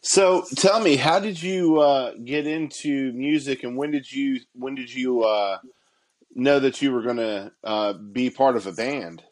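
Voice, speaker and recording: A man's voice: medium pitch, unhurried. A man hosting a podcast, asking questions in a friendly, slightly hesitant way. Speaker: podcast host. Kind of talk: casual podcast interview.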